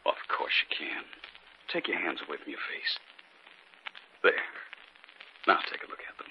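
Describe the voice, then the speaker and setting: An actor playing a steady husband caught in an eerie haunting, with deliberate, dramatic 1950s radio delivery. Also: male voice, rumbling low. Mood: soothing. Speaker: actor narrating and playing the lead. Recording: dull, noisy 1950s radio recording.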